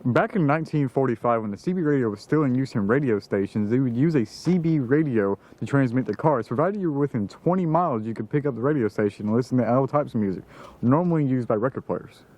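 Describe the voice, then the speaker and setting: A man's voice: mid-range voice, animated, fast, a little mellow. A man presenting an informal explainer in a narrating style.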